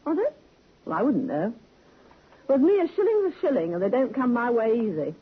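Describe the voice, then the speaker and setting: An actor playing a wary, evasive underworld informant in a 1950s radio drama, heard through a tinny old recording. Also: male voice, very high voice, very animated, normal speed, noisy background. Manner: evasive, streetwise, cagey.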